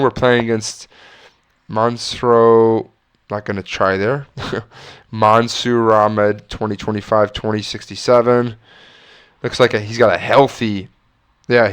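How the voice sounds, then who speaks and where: A man's voice: lowish voice, clear, resonant tone. A man with a stuffy nose and a slight cough thinking aloud through chess moves as he plays, often correcting himself. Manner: musing, self-correcting, talks through moves. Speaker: chess streamer.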